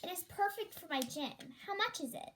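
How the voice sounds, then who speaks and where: A woman's voice: very high-pitched, animated, a little fast, fairly bright tone, slight background noise. A girl of about ten playing an earnest, determined young married woman in a school radio play. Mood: eager. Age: child of about ten.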